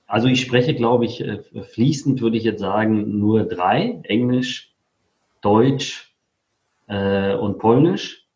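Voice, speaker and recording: A man's voice: on the low side, lively intonation, slow pace, darkish tone. Casual forty-year-old man in a roomy space. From born in Poland, grew up in Germany. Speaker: interview guest, affiliate marketer. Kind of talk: casual autobiographical telling. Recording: roomy, slightly dull sound.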